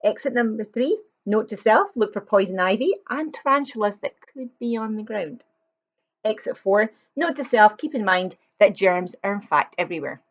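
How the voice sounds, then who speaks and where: A woman's voice: medium pitch, lively intonation, moderate pace, highs cut off. A woman reading a children's picture book aloud, expressively, with chatty explanatory asides to young listeners.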